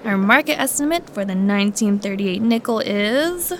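An actress playing a courteous, careful coin appraiser who explains things politely and in measured phrases in an audio drama. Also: female voice, mid-range voice, animated, natural voice. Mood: polite, explaining.

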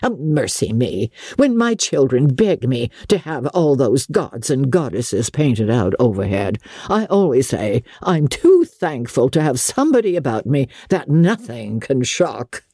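A husky, shrill old grande dame of a classic novel, as a woman narrator plays her. She teases bluntly and genially, with exclamations and big swings of pitch. The recording is dry and close. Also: very animated, unhurried, hardly any pauses, mellow, no echo, exaggerated voice. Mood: teasing. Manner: chuckling, exclaiming, bluntly teasing. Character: shrill, teasing old society matriarch, genial and outspoken. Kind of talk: dramatic audiobook character reading.

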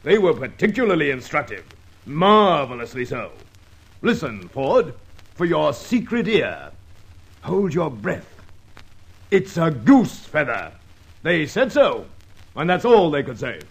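A man playing a gruff, irritable police superintendent in an old radio drama, blustering and exasperated. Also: a little high, very animated, takes it slowly, pauses often, full character voice, rough recording. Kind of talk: 1940s radio acting.

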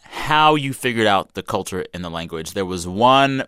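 Lively man hosting an interview. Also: fairly low pitch, normal speed, clean tone, dry recording, studio quality. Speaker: host. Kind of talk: casual interview chat.

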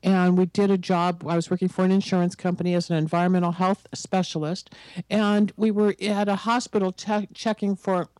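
Hoarse woman in her sixties, dry sound. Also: on the low side, little pitch movement, fairly quick, a little mellow, no echo, low-quality recording. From United States. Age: 60s. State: hoarse from a virus. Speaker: guest psychic. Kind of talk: interview storytelling.

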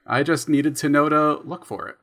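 A man's voice: fairly high pitch, normal speed, a bit bright. A young man co-hosting a casual podcast chat, explaining his sound design habits in a thoughtful, self-deprecating way. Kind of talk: casual conversation.

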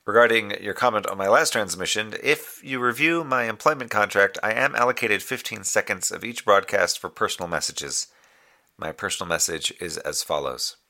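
Casting: formal moonbase comms officer, very low voice, forceful bright edge, dry and measured. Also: male voice. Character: formal, dryly wry moonbase communications officer. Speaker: narrator and communications officer. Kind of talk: scripted audio drama broadcast report.

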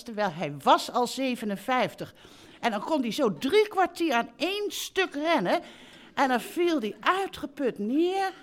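Elderly mother, lively swooping intonation, doting and breezily matter-of-fact, comic stage radio play. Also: fairly high pitch. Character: chatty, blithe elderly mother running a holiday park. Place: theatre stage before a live audience.

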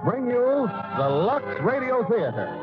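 A man's voice: very high-pitched, sing-song, a little slow. A man making the formal opening announcement of a 1940s radio show. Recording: dull old radio broadcast with music.

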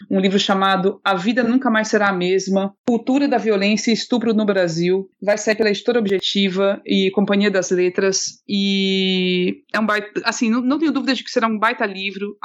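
A chatty woman speaks as a podcast guest, mid-pitched and casual, often stumbling and restarting her phrases. The audio is dull and lo-fi.

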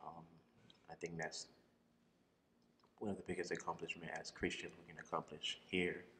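A man from Liberia, a guest talking casually about his life and faith, soft-spoken and quick to laugh. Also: sits low, flat delivery, long reverb tail, slight background noise. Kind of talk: casual interview chat.

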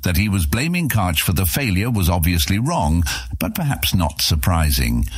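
A man's voice: sits low, sing-song, normal speed, breathy edge, no room sound, clean studio sound. A man narrating a fantasy audiobook in a dramatic, scripted storytelling style.

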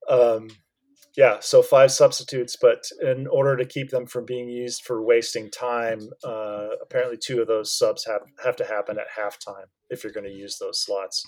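A man co-hosting a casual talk podcast with a middle-pitched voice. He explains things at length, stopping and restarting with plenty of fillers. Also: level tone, normal speed, darkish tone. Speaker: podcast co-host. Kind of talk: casual chat.

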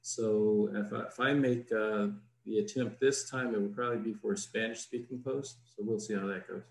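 A man, a diplomat guest, answering questions in a plain, measured, explanatory way over a video call. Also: lowish voice, fairly even intonation, vocal fry. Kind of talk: informative answers in an interview. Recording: roomy echo.

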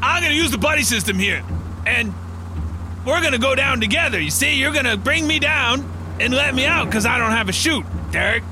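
A man's voice: extremely high pitch, lively intonation, a little fast, background noise. An actor playing an anxious, wheedling male contestant in a comic audio drama, whiny and insistent.

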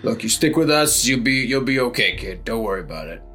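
A man's voice: medium pitch, sing-song, brisk, bright, forceful tone. A man voicing a condescending male cult raider who stumbles over his words, over faint music. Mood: patronizing. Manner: condescending, hesitant restarts.

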